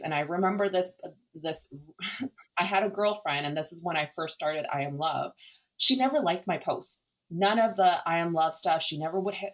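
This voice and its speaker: Low-voiced Canadian woman, earnest guest. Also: fairly bright tone, poor audio. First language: Cantonese. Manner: earnest, reflective, with hesitations and restarts. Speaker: podcast guest, nonprofit founder. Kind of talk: candid conversational interview.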